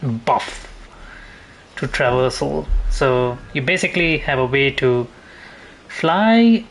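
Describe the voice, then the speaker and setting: A man's voice: medium pitch, slow pace, fairly bright tone, slight background noise, poor audio. Man rambling through casual gameplay commentary. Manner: rambling, thinking aloud. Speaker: gaming streamer. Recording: faint game ambience behind. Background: video game ambience.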